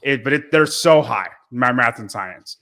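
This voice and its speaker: A man's voice: mid-range voice, animated, unhurried, sharp tone. A man co-hosting a podcast, rambling and self-mocking in casual chat, full of fillers. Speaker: podcast co-host.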